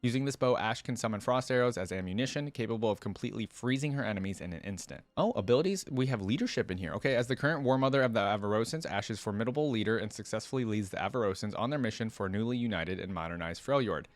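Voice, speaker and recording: Male reaction host, lowish voice, dry close sound, informal read-and-comment delivery. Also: lively intonation, fast, few pauses, dry recording. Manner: informal, interjects own thoughts while reading. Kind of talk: casual reaction commentary, reading lore aloud with asides.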